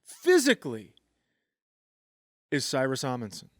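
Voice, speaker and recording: A man co-hosting a casual comedy podcast live at a comedy club, tossing in quick teasing jokes and wisecracks. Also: medium pitch, huge pitch swings, no echo, clean studio sound. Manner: quick joking interjections, teasing. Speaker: podcast co-host.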